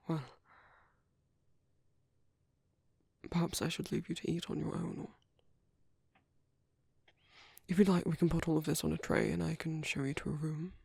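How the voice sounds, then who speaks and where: A man with a British accent playing a gentle, protective vampire priest in an ASMR roleplay, speaking softly and hesitantly with courteous, formal phrasing. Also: on the high side, flat delivery, fast, no room sound, studio quality. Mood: bashful, caring. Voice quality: soft, breathy, husky, often near-whispered. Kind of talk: ASMR roleplay, intimate soft-spoken monologue.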